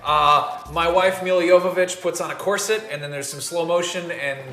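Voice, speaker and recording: A man chatting as a panelist on a video game show in a casual, animated, jokey way. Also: on the high side, moderate pace, a crowd in the background. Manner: animated, jokey, acts out imagined quotes. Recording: roomy echo, music underneath.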